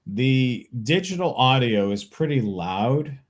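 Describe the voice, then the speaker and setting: A man's voice: mid-range voice, lively intonation, slow. A man hosting a live show, answering tech questions in an informal, plain-spoken way. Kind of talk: casual tech Q&A talk.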